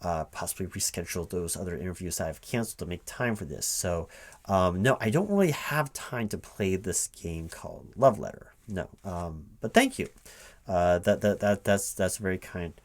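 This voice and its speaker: A man's voice: sits low, animated, moderate pace. A man who stammers and repeats words hesitantly, speaking aloud as a recruiting manager in a one-sided ASMR roleplay. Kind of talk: one-sided interview roleplay, soft-spoken ASMR at normal voice.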